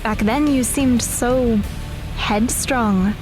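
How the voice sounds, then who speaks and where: Audio drama role: downcast, guarded young woman, played by a woman, higher voice, unhurried and hesitant. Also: over music, rough recording. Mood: guarded, surprised. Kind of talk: scripted audio drama dialogue.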